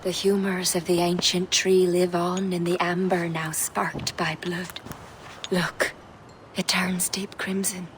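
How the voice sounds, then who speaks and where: An actress playing a mysterious healer woman who speaks in a hushed, solemn way, often near a whisper. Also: on the low side, little pitch movement, slow, slight background noise.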